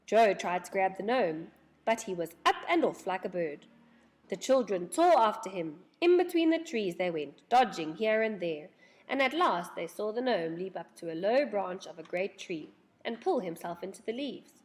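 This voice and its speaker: A woman narrates a children's book with a very lively, swooping delivery. Her voice sits at an everyday female pitch, and she tells the tale with dramatic expression. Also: normal speed. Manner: animated, dramatic storytelling. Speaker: storyteller reading a children's book aloud.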